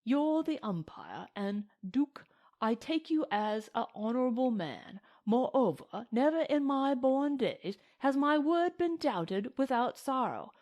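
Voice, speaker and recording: A woman's voice: high voice, sing-song, slow pace, darkish tone, voice pushed up, exaggerated voice. Woman voicing a sly, sardonic cowboy. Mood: mock-polite menace. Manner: folksy Western drawling phrases, mock-courteous. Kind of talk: storybook character voice in narration.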